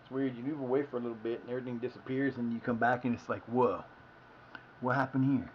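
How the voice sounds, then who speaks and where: Fifties Ohio man, livestream host, chatty and wandering, mid-pitched voice on dull lo-fi audio. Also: steady tone, quick pace, slight background noise. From Ohio, United States. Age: 50s. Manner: meandering, self-correcting, folksy. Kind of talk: rambling casual storytelling.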